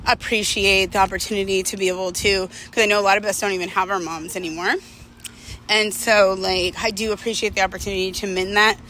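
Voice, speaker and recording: A woman's voice: medium pitch, sharp tone, a little hiss or hum, poor audio. A woman talking casually and candidly to her viewers in a reflective, personal monologue, using conversational slang. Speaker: vlogger telling personal stories to viewers.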